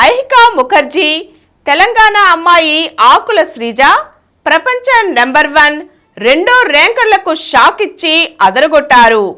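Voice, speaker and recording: A woman's voice: high-pitched, fairly bright tone. A woman reading scripted news as a bulletin presenter. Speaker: news reader.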